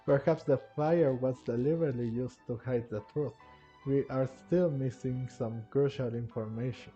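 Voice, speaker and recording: A man commentating casually over a game he is playing. His voice sits at a middle pitch, recorded on a dull, lo-fi microphone, with faint game music behind it. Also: moderate pace, warm tone. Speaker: gaming video creator. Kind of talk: casual gameplay commentary, reading dialogue choices aloud.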